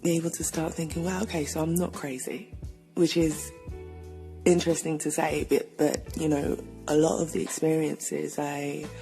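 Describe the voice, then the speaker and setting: A woman's voice: low voice, flat delivery, moderate pace, creaky, warm tone, soft background music. A woman hosting a podcast, opening the episode in a reflective, conversational way with frequent fillers. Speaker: podcast host. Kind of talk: solo episode introduction.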